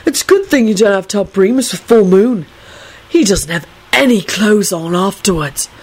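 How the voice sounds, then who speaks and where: A woman's voice: medium pitch, lively intonation, moderate pace, a little mellow, lightly acted. A woman voicing a giddy, self-righteous, medicated teenage boy in an audiobook, rambling in a blithe, earnest way. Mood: confiding.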